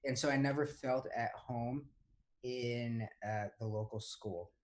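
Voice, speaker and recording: A young man from Hong Kong co-hosting a podcast, speaking at a mid-range pitch for a man. He tells long personal stories in a loose, rambling way, full of "um"s, "like"s and false starts. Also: fairly even intonation, a little slow. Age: young adult. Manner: rambling storytelling with many fillers and restarts. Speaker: podcast co-host. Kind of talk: casual chat.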